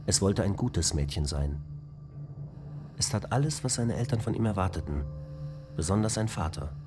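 A man voicing a calm male onboard ship computer that tells a bedtime fairy tale in a measured, matter-of-fact way. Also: low voice. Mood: storytelling. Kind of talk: German radio drama acting. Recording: radio play with music underneath.

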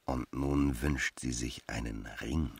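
A man acting a smug, sensual, cynical lover in a radio drama, with a gravelly, husky voice and an intimate drawl. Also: extremely low pitch, fairly even intonation, slow pace, exaggerated voice. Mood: cynical, disdainful.

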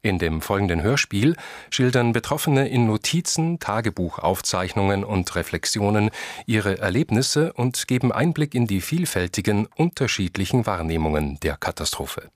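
A man's voice: fairly low pitch, expressive, normal speed, no echo. A man reading a scripted radio introduction as an announcer.

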